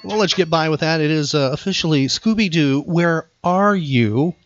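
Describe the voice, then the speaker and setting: A man's voice: a little high, normal speed, muffled recording, loud music behind the voice. A man hosting a chatty trivia podcast in a playful, teasing manner. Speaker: podcast host.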